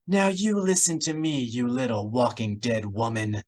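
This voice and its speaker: A man's voice: mid-range voice, moderate pace, darkish tone, lightly acted. A man voicing a proud, threatening woman in an audiobook, biting and imperious. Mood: angry, curt.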